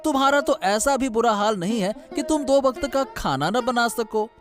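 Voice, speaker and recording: A man's voice: very high voice, lively intonation, normal speed, soft background music. A man voicing a harried grown son and royal courtier who fusses and complains in a Hindi cartoon story. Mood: exasperated. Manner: plaintive, fussing.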